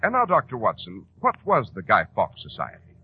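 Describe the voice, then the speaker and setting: A man's voice: lowish voice, wildly swooping pitch, fairly quick, loud music behind the voice. An American man hosting and announcing in 1940s radio style, polished, emphatic and salesmanlike. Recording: muffled old radio broadcast.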